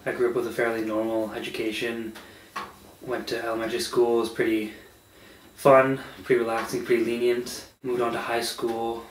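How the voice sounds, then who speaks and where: A man's voice: medium pitch, flat delivery, normal speed, roomy sound, far from the mic, rough recording. A man talking casually and plainly about school and sports. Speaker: interviewee. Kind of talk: casual chat.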